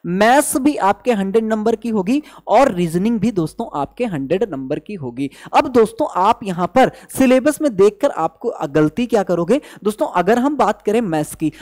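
A man's voice: high voice, a little mellow. A young man teaching an exam-strategy class, speaking emphatically, repeating his points and asking rhetorical questions. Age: young adult. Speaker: maths teacher at an exam coaching channel. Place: indoor teaching studio at a digital board.

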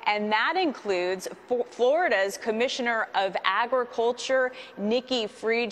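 A woman in her thirties, a TV news correspondent delivering a brisk, even live report outdoors at night. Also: medium pitch, a little hiss or hum.

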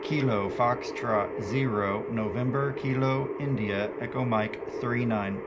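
Man on ham radio amid loud static. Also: medium pitch, unhurried. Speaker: amateur radio operator. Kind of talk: radio call signs in phonetic alphabet. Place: small living room, indoors. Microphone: camera a short distance away. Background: radio noise.

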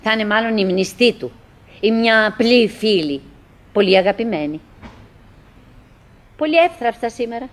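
An actress playing a middle-aged woman in a radio theatre monologue, wistful and doggedly cheerful, musing in halting, repetitive phrases. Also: fairly high pitch, animated, lightly acted.

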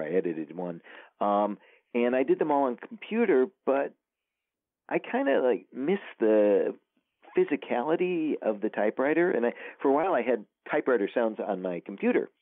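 A man's voice: fairly low pitch, no room sound. Rambling man on a tinny phone line. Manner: rambling, many fillers and restarts. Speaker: author guest. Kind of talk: casual interview chat.

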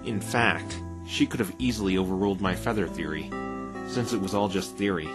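A man's voice: low voice, brisk, lightly acted. A man playing an earnest, plain-spoken, wry male defense lawyer in conversational game-style dialogue. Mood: earnest, explaining. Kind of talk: fan dub of visual novel game dialogue. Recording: background music under the voice.

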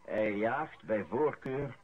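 Radio drama husband type, male actor, mild, matter-of-fact reading aloud, mid pitch, dull lo-fi sound. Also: takes it slowly, close to an everyday voice. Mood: measured. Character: mild man reading the newspaper aloud at breakfast. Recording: dull, lo-fi old radio recording.

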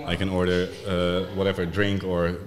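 A man's voice: sits low, completely flat delivery, moderate pace, slight background noise. A Dutch man from the Netherlands, a podcast guest chatting casually in a joking, easygoing way. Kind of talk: casual chat.

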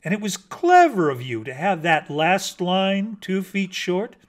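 A man's voice: high voice, big pitch swings, measured pace, voice pushed up. Guy doing a cordial know-it-all mockingbird from a storybook, effusive and lecturing, voice swings all over. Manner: effusive, lecturing, condescendingly kind. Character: male mockingbird, cordial, pedantic, self-important poetry critic.

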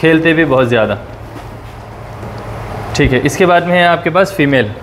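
A fairly high-voiced man talks casually, echoing each price and detail for his viewers. He records on a phone in an indoor goat pen. A faint hum of ambience sits behind him. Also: animated, moderate pace, a bit bright. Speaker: host of a goat-sale channel. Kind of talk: casual on-site interview.

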